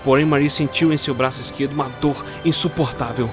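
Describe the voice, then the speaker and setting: A man narrating a dark Christmas fairy tale slowly and dramatically. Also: medium pitch, steady tone. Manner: slow, dramatic storytelling. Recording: clear music bed under the voice.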